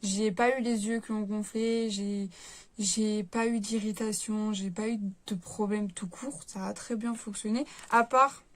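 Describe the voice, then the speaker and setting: A woman's voice: mid-range voice, steady tone, measured pace. A young woman chatting casually to her camera at home, reviewing a beauty kit and rambling with lots of fillers. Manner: rambling, lots of filler words and self-corrections. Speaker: beauty vlogger.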